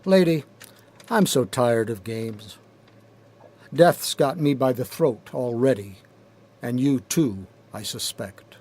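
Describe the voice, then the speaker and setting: A man reading his own poetry aloud in a deliberate, rhythmic, dramatic spoken-word style, recorded in a studio. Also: medium pitch, lively intonation, darkish tone. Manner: deliberate, measured, rhythmic delivery with pauses. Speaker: poet reading own work.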